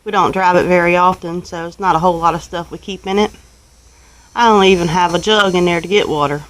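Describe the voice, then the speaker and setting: A woman with a Southern US accent telling a story casually, in a folksy, unhurried, colloquial way, as a homestead vlogger. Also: lowish voice, steady tone, poor audio. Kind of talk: casual first-person storytelling.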